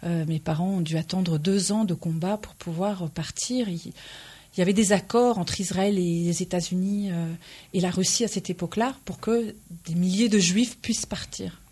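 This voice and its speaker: A woman from Belgium, a playwright and stage director, answering interview questions in an earnest, measured way with frequent pauses. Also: fairly low pitch, mellow, husky.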